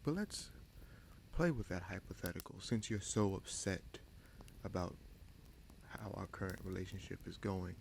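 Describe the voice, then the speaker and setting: Man acting a low, gravelly, scheming fantasy prince. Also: animated, darkish tone, slight background noise. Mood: cold, challenging. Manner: menacing, sardonic, deliberate with dramatic pauses and sighs. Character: bitter, scheming bastard prince commanding a captive dragon. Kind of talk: dramatic fantasy audio roleplay addressed to the listener.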